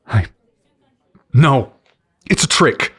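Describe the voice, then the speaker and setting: A man playing a grieving, mistrustful superhero in an audio drama, halting, heavy and guarded. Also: medium pitch, animated, fairly bright tone. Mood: distressed. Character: Scott, grieving, stunned, mistrustful male superhero.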